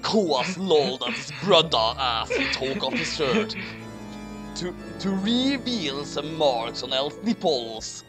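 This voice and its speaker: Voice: medium pitch, sing-song, slow. A person doing a comedic dramatic reading of a garbled fan story as host, in a theatrical, mock-outraged manner. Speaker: host and reader. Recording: music playing behind. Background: music.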